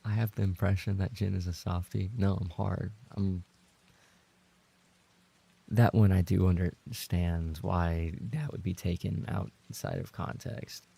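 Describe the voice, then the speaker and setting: Male streamer, low creaky voice, slightly dark and a bit husky, casual chat delivery, playful mock-indignant, sweary. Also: flat delivery, unhurried. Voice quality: gravelly, creaky. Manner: playful mock-indignant, sweary, repeats phrases when flustered. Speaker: livestream host and roleplay voice actor. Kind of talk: casual livestream chat, reading and reacting to viewer messages.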